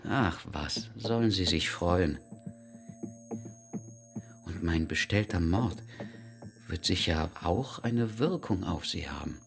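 Deep-voiced brooding husband. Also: male voice, lively intonation, slow pace, creaky, long pauses, full character voice. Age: 30s. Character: despondent thirty-year-old husband planning his own murder. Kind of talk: radio drama inner monologue and dialogue. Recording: music behind the voice.

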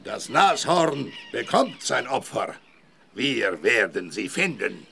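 A man playing a menacing village leader in a children's audio drama, commanding and theatrical, with a gravelly voice. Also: mid-range voice, big pitch swings, slow pace, sharp tone, a little hiss or hum, heavily acted. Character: menacing village leader demanding offerings and sacrifice.

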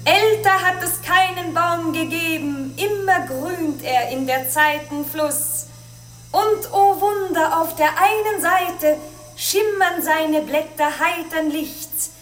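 Imperious high-voiced princess in a radio play. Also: female voice, fairly bright tone, reverberant, exaggerated voice. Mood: solemn, commanding. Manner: declamatory, solemn, deliberate. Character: proud, imperious young Chinese princess posing deadly riddles. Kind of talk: classical German radio play acting.

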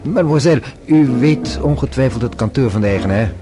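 Actor as a courteous, shrewd police inspector, music behind. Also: male voice, mid-range voice, lively intonation, a little fast, natural voice. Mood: probing, polite. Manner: formal, polite, authoritative. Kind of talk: radio play acting.

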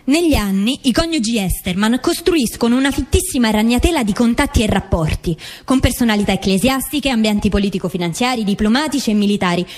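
A woman's voice: fairly high pitch, lively intonation, quick pace, hardly any pauses, darkish tone. A woman reading scripted documentary theatre narration aloud, formal and emphatic.

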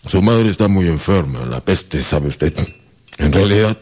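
Deep-voiced, brusque school headmaster. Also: male voice, animated, measured pace, darkish tone, a little hiss or hum. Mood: blunt, indifferent. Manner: blunt, formal, indifferent. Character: brusque, tactless old-fashioned school headmaster. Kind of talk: radio drama acting.

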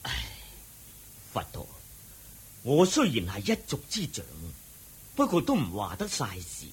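An actor playing a devout, hesitant clan elder in a radio drama, husky and deferential, with a Hong Kong Cantonese accent. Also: male voice, fairly low pitch, wildly swooping pitch, unhurried, exaggerated voice. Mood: ashamed, hesitant. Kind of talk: Buddhist radio drama acting.

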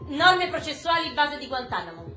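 Actress as an insistent high-voiced female journalist, echoey. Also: very animated, moderate pace, sharp tone, soft background music, exaggerated voice. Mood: focused. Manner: insistent, questioning. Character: persistent young female journalist, indignant, searching for answers. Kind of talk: stage-style radio drama acting.

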